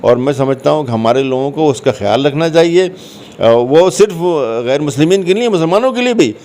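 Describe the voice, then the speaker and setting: A man's voice: fairly high pitch, lively intonation, normal speed, darkish tone. A man answering interview questions conversationally, explaining his points and repeating phrases for emphasis. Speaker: interview guest, commentator.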